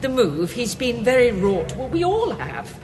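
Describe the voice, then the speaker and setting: An actress playing a polite, anxious gallery assistant in a radio drama, chattering nervously. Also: female voice, on the high side, sing-song, a little slow, a little mellow. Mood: fretful.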